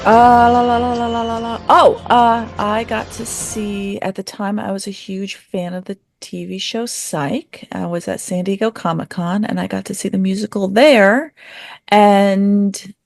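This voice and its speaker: A woman's voice: medium pitch, steady tone, unhurried, vocal fry, faint music behind. A woman chatting casually and informally as a guest answering questions on a podcast. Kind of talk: casual chat.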